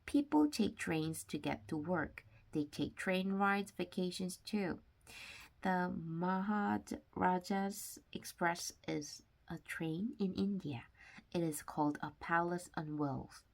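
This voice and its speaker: A woman reading a children's nonfiction book aloud with simple, deliberate phrasing, sometimes stumbling over words. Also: lowish voice, gravelly. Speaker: read-aloud narrator of children's books.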